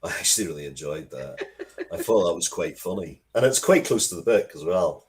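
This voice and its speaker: Casual male interviewee, low register, halting and reflective delivery. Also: expressive. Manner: halting, thoughtful, repeats words as he goes. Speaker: interview guest, writer of Dracula comics and media.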